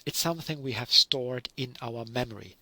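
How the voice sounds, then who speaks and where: A man's voice: mid-range voice, normal speed, sharp tone, no echo. A middle-aged man from Germany with a German accent, giving a recorded university lecture and explaining with many fillers and self-corrections.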